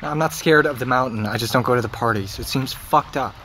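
A man's voice: medium pitch, fast, fairly bright tone, lightly acted. A man with an American accent playing a sarcastic, sullen seventeen-year-old boy in a naturalistic audio drama. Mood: defensive, annoyed. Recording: noisy outdoor ambience behind.

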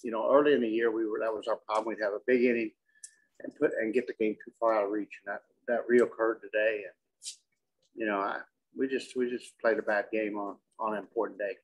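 A middle-aged man, a coach answering questions at a postgame press conference in a measured, halting way, often repeating words. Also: on the low side, level tone, rough recording. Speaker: softball coach. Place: indoor press conference room with curtain backdrop.

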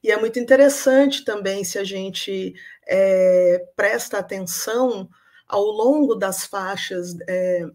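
A young woman delivers an academic talk over a webcam from a room at home. Her pitch sits in the middle of the range, and she moves thoughtfully through her points with frequent hesitations and fillers. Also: slow, darkish tone. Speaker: lecturer. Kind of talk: academic talk on song and literature. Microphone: webcam on video call.

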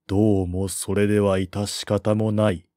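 A man narrating a historical novel as an audiobook, with a measured, expressive literary delivery. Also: on the low side, no room sound, clean studio sound.

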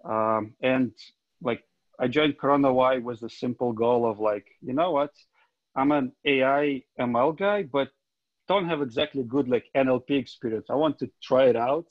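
A man's voice: mid-range voice, normal speed, no room sound. A man from Ukraine introducing himself casually on a video call, loose and full of fillers. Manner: loose, filler-heavy, self-deprecating. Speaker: tech coordinator. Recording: dull dry call audio.

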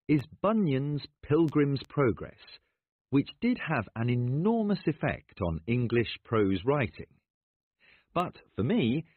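A man speaking in the measured, formal style of an academic lecture. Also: mid-range voice, slightly rough, no echo. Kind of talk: academic lecture and question, clearly delivered. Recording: thin phone-like audio, dry.

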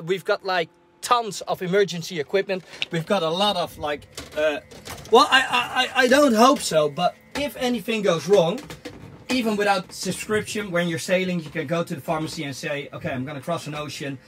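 A man in his thirties with a Dutch accent, giving an excited tour of his sailboat while filming himself. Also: high-pitched. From Netherlands. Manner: enthusiastic, chatty, addresses viewers as guys. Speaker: sailing vlogger. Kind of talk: casual vlog boat tour. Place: on a sailboat in a harbor, cabin and deck. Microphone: camera at arm's length.

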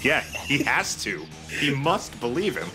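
A man's voice: mid-range voice, very animated, measured pace. A man casually bantering over video game play, dropping offhand quips as a let's play commentator. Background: video game music.

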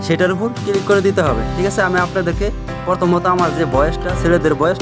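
Fast-talking man over guitar music. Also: sits high, fairly even intonation. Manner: repetitive, keeps checking the viewer follows. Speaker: app tutorial host. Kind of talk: step-by-step screen tutorial narration. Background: plucked guitar music.

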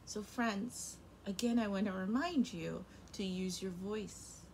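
A young woman teacher reading a picture book aloud to kindergarten children, very expressive, her loudness rising and falling sharply. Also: medium pitch, slow pace, creaky, slight background noise, rough recording. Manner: expressive and animated, with big swings in loudness. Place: home room in front of a rainbow feather backdrop.

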